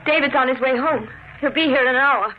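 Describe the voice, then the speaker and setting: An actress playing an anxious, devoted young wife, hesitant and questioning, in a 1950s radio drama. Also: female voice, high voice, fairly quick, natural voice. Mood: worried. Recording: muffled, noisy vintage radio broadcast.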